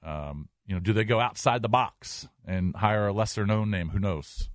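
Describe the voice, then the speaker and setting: A man's voice: fairly low pitch, normal speed, no echo, clean studio sound. A man co-hosting a sports talk show in casual style, rattling off figures and names and repeating phrases. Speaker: sports radio co-host. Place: radio studio. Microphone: close studio microphone.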